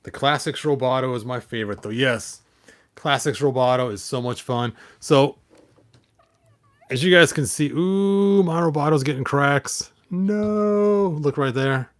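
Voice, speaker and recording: A man's voice: medium pitch, unhurried. A man hosting a hobby vlog in casual, digressive chat, often half talking to himself as he handles things. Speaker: toy collector, video host.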